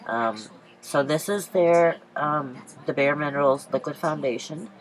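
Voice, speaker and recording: A woman's voice: low-pitched, a little slow, a little hiss or hum, low-quality recording. A woman with a stuffy head cold talking through a casual makeup tutorial, chatty and rambling with many ums and self-corrections. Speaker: beauty vlogger.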